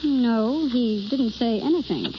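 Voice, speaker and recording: A woman's voice: fairly high pitch, animated, takes it slowly. An actress playing a shy, awkward young woman who speaks hesitantly and apologetically, in 1930s radio style. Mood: apologetic, hurt. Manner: hesitant, apologetic, self-effacing. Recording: muffled, hissy 1930s radio broadcast.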